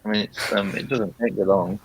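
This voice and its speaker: A low, gravelly man from the north of England, speaking fast as a podcast guest. His voice is husky, and his replies are short and dry. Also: fairly even intonation, rough recording. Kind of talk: casual podcast chat.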